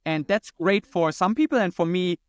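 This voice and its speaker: A man's voice: high voice, normal speed, no room sound, studio quality. A man from Germany with a German accent, answering conversationally as a founder interviewed on a podcast. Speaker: podcast guest, company founder and CEO.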